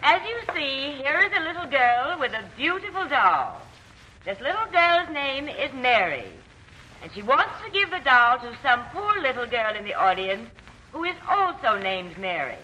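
A woman's voice: high-pitched, big pitch swings, moderate pace, sharp tone, exaggerated voice. An actress playing a gushing, patronizing charity lady addressing children in a 1940s radio drama, sugary and declamatory. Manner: declamatory, sugary public announcing. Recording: dull, noisy 1940s radio recording.

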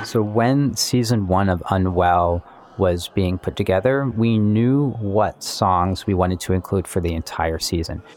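A man, a musician and composer, talking reflectively and in measured phrases about his work. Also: deep voice, over a noisy crowd. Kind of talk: reflective interview monologue about making music. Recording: music playing behind the voice. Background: guitar-led instrumental music.

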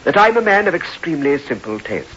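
An actor playing a gentle, forgiving, pious vicar in a radio drama, speaking in an earnest, moralizing way. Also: male voice, fairly high pitch, very animated, fast talker, breathy edge, exaggerated voice. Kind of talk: 1960s radio drama acting. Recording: muffled, noisy old radio recording.

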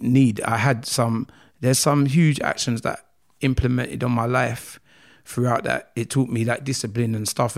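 A man's voice: mid-range voice, vocal fry. A man from South London with a South London accent, a podcast guest earnestly telling his life story in a casual interview, rambling with lots of "like" and "man". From South London, England.

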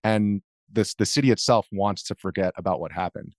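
Low-voiced, earnest American man. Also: fairly quick, dry recording, studio quality. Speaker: interview guest, video journalist. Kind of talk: conversational interview, explaining own perspective.

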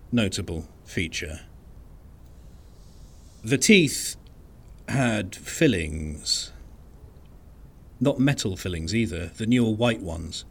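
A man giving scripted first-person narration of an eerie story in a measured, uneasy way. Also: mid-range voice.

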